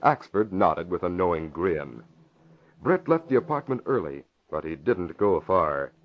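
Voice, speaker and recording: A male narrator of an old-time radio drama. His voice is low, and he delivers scripted story links. The recording is muffled like a vintage broadcast. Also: sing-song, a little slow.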